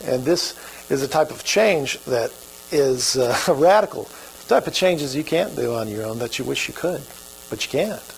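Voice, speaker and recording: A man's voice: medium pitch, animated, normal speed, gravelly, a little hiss or hum, rough recording. A man with an Oklahoma accent preaching a sermon as pastor, in a folksy, conversational way.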